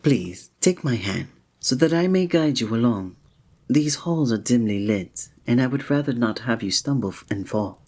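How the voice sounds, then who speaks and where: Man playing a soft, breathy, gallant fantasy innkeeper. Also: medium pitch, expressive, normal speed. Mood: polite, attentive. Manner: formal, old-fashioned, gallant, gentle phrasing. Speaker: ASMR roleplay performer. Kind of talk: scripted romantic ASMR roleplay.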